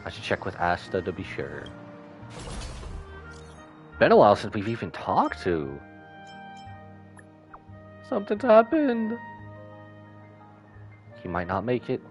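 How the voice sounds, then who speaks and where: A man's voice: on the low side, wildly swooping pitch, slow, pauses often. A man livestreaming a game, giving playful commentary and reading dialogue aloud. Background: faint game music.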